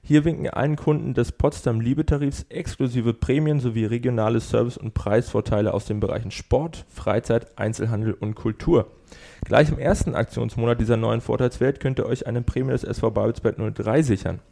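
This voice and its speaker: Gravelly male co-host reading news. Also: mid-range voice, a little fast. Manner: steady news read with small slips. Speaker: podcast co-host.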